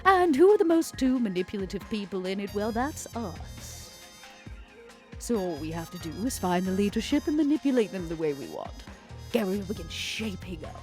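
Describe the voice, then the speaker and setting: A woman playing a scheming, worldly female vampire businesswoman in a tabletop roleplay, speaking in a theatrical, deliberate, insinuating way. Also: mid-range voice, very animated, soft background music, close to an everyday voice. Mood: sly, scornful.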